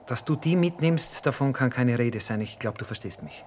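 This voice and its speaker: An actor playing a hard-headed, callous army cook in a radio drama, speaking bluntly. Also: male voice, medium pitch, expressive, moderate pace, slight background noise, natural voice. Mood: cold, insistent.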